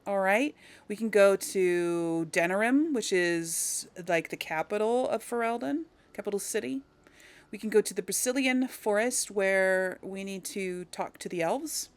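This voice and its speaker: A woman hosts a video game role-play in a casual, chatty way. She speaks straight to the viewers and thinks out loud as she goes. Her voice sits at an ordinary middle pitch for a woman. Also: measured pace. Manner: chatty, thinking aloud, talks directly to viewers. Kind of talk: casual let's play commentary.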